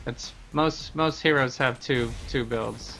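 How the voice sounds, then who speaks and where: A man's voice: medium pitch, slow, bright, forceful tone, soft background music. A man giving casual gameplay commentary as a streamer, explaining things in a conversational way. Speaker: game streamer.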